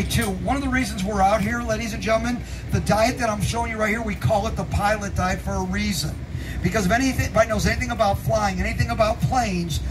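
A man's voice: high voice, far from the mic, background noise. A middle-aged man from Missouri giving a live cookware sales demonstration in an open tent at an outdoor fair, joking with the crowd in a folksy way.

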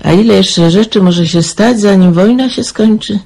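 A man doing a gravelly, fretful old mother. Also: high-pitched, moderate pace, warm tone. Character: worried elderly mother Kazimiera, fretful, talkative. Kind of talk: amateur radio play acting.

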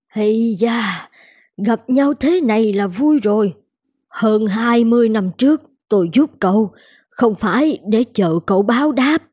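A woman voicing a kindly, humble elderly shop owner in a cartoon, gently protesting. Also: on the high side, animated, a little slow, slightly rough, no echo, clean studio sound. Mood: modest, firm. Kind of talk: cartoon dubbing.

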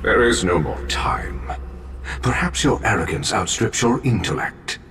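An actor playing a cold, commanding inhuman overlord in a video game, speaking in a stern, measured, threatening way. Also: male voice, fairly low pitch, animated, fairly bright tone, a little hiss or hum. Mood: cold menace. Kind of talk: video game villain acting.

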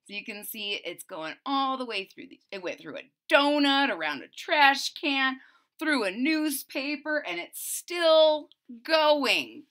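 A woman's voice: high-pitched, animated, slow pace, a bit bright. A middle-aged woman reading expressively to children, theatrical and emphatic, drawing out key words. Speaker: children's story reader. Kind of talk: expressive read-aloud storytelling for children. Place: small room at home.

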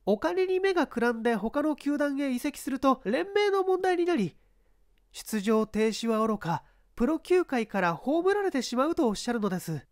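A young woman performed by a female reader gives detailed testimony in a mystery story. Her voice is high and lively in pitch, with polite, formal phrasing. The sound is dry and composed. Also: normal speed, no room sound. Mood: earnest, explaining. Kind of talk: dramatized mystery story reading.

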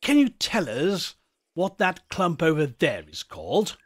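A man's voice: a little high, huge pitch swings, unhurried, husky, voice pushed up, exaggerated voice. A man voicing a courteous, inquisitive, well-bred English schoolboy in a storybook. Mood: polite.

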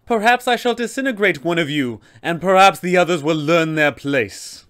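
A man's voice: fairly high pitch, fairly bright tone, voice pushed up, lightly acted. Man voicing an imperious, taunting undead elf sorceress. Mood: taunting, menacing. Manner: slow, taunting, imperious delivery. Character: menacing, haughty female undead elf sorceress villain.

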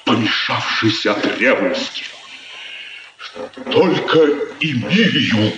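A man's voice: medium pitch, animated, slow pace, lo-fi sound, crowd noise. An older actor playing a proud, stern, hot-tempered father and colonel, grave and abrupt, in a 1950s radio play. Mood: brooding. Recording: noisy, roomy 1950s radio recording.

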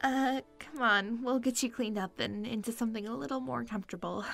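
A woman's voice: on the high side, fairly quick, creaky, a bit bright, soft background music. A woman playing a kind, earnest young princess in an audio drama. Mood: gentle, concerned.

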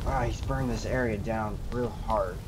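A man's voice: fairly low pitch, moderate pace, heavy background noise. A man improvising in-character gameplay commentary, switching between muttering to himself and exclaiming. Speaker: gamer playing in character. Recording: loud game music and effects behind. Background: video game music and battle sounds.

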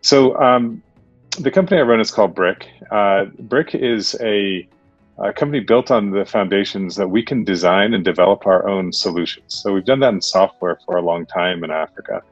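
A man giving a casual, halting webinar talk over a video call. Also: on the low side, no echo, soft background music. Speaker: guest speaker, tech entrepreneur. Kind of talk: webinar presentation. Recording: dull, dry video-call audio.

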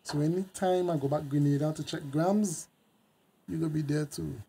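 An actor playing a devoted, hot-tempered young boyfriend in a radio drama, speaking colloquial Creole with a Caribbean accent. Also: male voice, fairly high pitch, normal speed, vocal fry, mellow, husky. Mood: tender. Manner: colloquial Creole, confrontational when crossed.

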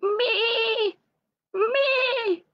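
A tiny storybook lamb bleats in short, desperate cries. The voice leaps very high and shrill, frightened and pleading, in a slightly dull recording. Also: female voice, extremely slow, full character voice.

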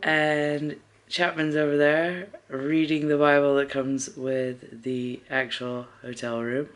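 A woman in her thirties talks into a phone at arm's length, vlogging her family's day. Her voice sits a little low, and she takes her time, drawing the words out. She is chatty and teasing as she narrates. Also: level tone, takes it slowly. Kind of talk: casual vlog chat to camera. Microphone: phone held at arm's length.

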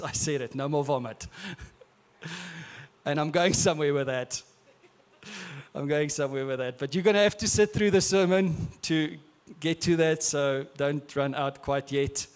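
A man in his thirties with an Afrikaans accent, preaching a sermon on a church stage into a handheld mic in a relaxed, conversational way with restarts. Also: on the high side. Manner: conversational, with frequent filler and repeated words. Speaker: pastor. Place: large church stage, indoors.

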